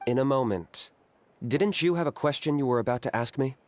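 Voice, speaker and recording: An actor playing a cool, reserved young hunter in a video game, terse, deadpan and matter-of-fact. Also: male voice, medium pitch, fairly quick, background noise, close to an everyday voice. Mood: cool, measured.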